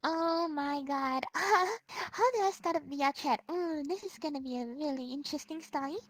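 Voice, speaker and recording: A streamer guest with a Singaporean English accent, chatting excitedly and giggling through rambling stories in a casual interview. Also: sits high, moderate pace, faint music behind.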